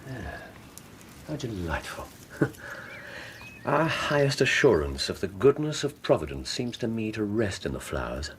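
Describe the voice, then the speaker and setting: An actor playing a brilliant, eccentric Victorian detective in a radio drama, with an English accent and a theatrical, musing manner. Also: male voice, fairly low pitch, sing-song, measured pace, a little hiss or hum. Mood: dreamy, philosophical. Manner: theatrical, musing, punctuated with hesitations and hums.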